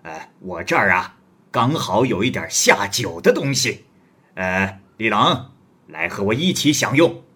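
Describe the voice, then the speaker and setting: Bold, booming red-bearded male wanderer in an old tale, voiced by a man storyteller, husky and low. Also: big pitch swings, unhurried, heavily acted. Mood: hearty. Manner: loud, booming, blunt.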